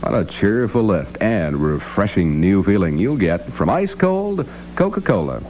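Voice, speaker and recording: A man's voice: sits low, sing-song, normal speed, a little mellow, slight background noise, poor audio. A man presenting and reading ads as a 1960s radio announcer, polished and salesmanlike. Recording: vintage radio, faint music.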